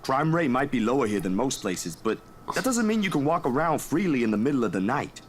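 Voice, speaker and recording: A man's voice: mid-range voice, big pitch swings, brisk, slight background noise, close to an everyday voice. An actor playing a protective, easygoing young man in a visual novel, talking in a casual, solicitous way. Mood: protective, caring. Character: caring, protective young male friend, easygoing and concerned.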